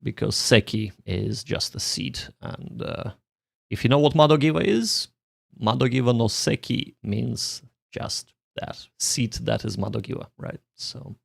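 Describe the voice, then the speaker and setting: A man's voice: medium pitch, animated, a little slow, creaky, dry recording, clean studio sound. A man hosting a casual study livestream, thinking aloud in a joking, self-deprecating way. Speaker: livestream host, language learner.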